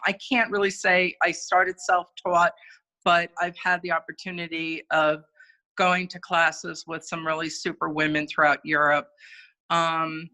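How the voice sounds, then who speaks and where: A woman's voice: fairly low pitch, bright, forceful tone, poor audio. An older woman chatting on a video call, rambling with many fillers. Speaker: guest cookie artist and teacher. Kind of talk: casual video-call chat.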